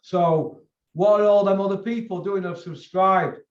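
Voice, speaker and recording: Male channel host, Northern English, higher-pitched voice, loose repetitive chat, dull roomy recording. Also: a little slow. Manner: rambling, colloquial, sweary, repeats himself. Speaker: host of a boxing video channel. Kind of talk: casual chat.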